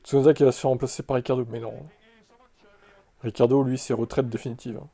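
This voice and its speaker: Man in his thirties, French accent, mellow dark voice, casual stream chatter, loose asides and fillers. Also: mid-range voice, flat delivery, fast, breathy edge. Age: 30s. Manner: conversational, reacting to chat with asides and fillers. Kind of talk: casual live-stream chat reading viewer comments.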